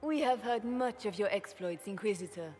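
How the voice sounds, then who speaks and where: An actress playing a poised, gracious empress in a fantasy game, formal, stately and courtly. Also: female voice, medium pitch, lively intonation, moderate pace, a little mellow, faint music behind, close to an everyday voice. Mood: gracious, regal.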